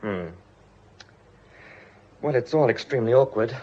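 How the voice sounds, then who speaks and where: An actor playing a brisk, discreet British family doctor in a radio drama. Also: male voice, mid-range voice, a little mellow, natural voice. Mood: probing, discreet. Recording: muffled radio drama, faint noise.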